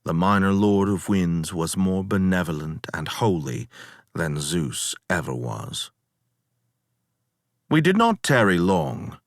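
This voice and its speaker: A man's voice: sits low, very animated, no room sound, studio quality, heavily acted. A man performing a weary, proud ancient Greek hero who recounts his sea voyages as theatrical dramatic poetry, in a grave, declamatory manner. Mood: wistful, awed. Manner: grave, declamatory, deliberate storytelling with dramatic swells.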